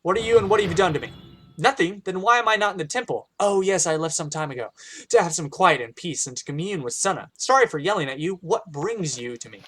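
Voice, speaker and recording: A man with a hoarse voice reading the lines of a forgetful, irritable hermit priest from a game. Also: sits high, animated, fast, a bit bright. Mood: irritated. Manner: reads game dialogue aloud, brusque.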